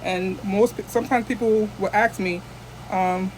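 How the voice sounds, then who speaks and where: Woman from the United States, casual phone vlog, rambling storyteller, middle pitch. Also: steady tone, a little slow, slight background noise, rough recording. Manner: rambling, chatty storytelling with many restarts. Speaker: vlogger. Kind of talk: casual chat to camera.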